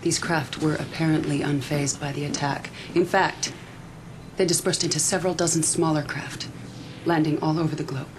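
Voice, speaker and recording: An actress playing a resolute, defiant military faction leader in a video game cutscene, speaking formally and with measured authority. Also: female voice, low voice, fairly even intonation, background noise, close to an everyday voice. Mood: grave, urgent. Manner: formal, measured briefing delivery.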